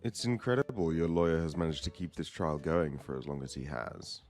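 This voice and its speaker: Man acting very deep, gloating prosecutor. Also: lively intonation, brisk, darkish tone, faint music behind. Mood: coldly pressuring. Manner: smug, menacing, formal. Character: gloating, cynical male prosecutor out for conviction. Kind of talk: audio drama acting.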